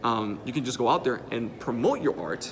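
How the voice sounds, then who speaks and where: A man's voice: medium pitch, animated, fairly quick, a crowd in the background, low-quality recording. A man from the Dominican Republic, a native Spanish speaker with a Dominican Spanish accent, coaching emphatically and repeating key points. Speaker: digital marketing host. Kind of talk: conversational coaching.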